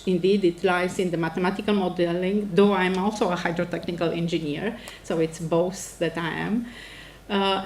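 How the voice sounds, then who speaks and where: A woman's voice: lowish voice, a little mellow, a little hiss or hum. A middle-aged woman from Romania with a Romanian accent, a native Romanian speaker, giving a formal academic address as a professor. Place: indoor lecture event before an audience.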